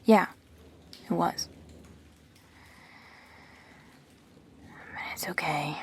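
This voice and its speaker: A woman playing a perceptive, teasing, supportive friend in an intimate sci-fi audio drama, expressive and blunt. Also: fairly low pitch, gravelly, husky, exaggerated voice. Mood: gentle, knowing.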